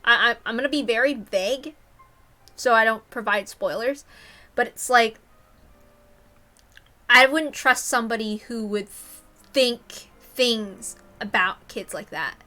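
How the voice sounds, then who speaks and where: A woman's voice: a little high, slow, long pauses, bright, forceful tone. A woman reading a story aloud expressively, with casual commentary of her own. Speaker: reader and commentator.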